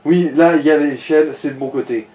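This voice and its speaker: A man's voice: fairly high pitch, fast talker, a little mellow. A man chatting casually and colloquially as he guides someone through a video game, with some swearing. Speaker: co-commentator guiding the player. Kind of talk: casual gaming chat.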